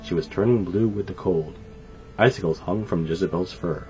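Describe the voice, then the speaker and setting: A man reading a children's story aloud in an animated, expressive way. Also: deep voice, normal speed, a little hiss or hum. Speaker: storybook reader. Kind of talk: scripted children's story narration. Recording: dull lo-fi sound. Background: light music.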